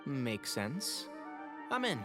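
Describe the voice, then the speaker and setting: Slow, measured young man. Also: mid-range voice, huge pitch swings, music in the background, exaggerated voice. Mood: agreeable. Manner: measured, understated. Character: level-headed, dryly wry young man. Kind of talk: video game character acting.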